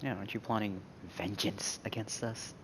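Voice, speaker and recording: A woman's voice: a bass voice, steady tone, normal speed, a little hiss or hum, poor audio. A woman giving casual, playful reaction commentary on a game in short wry asides. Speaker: gaming streamer. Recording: game music behind voice. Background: game audio and music.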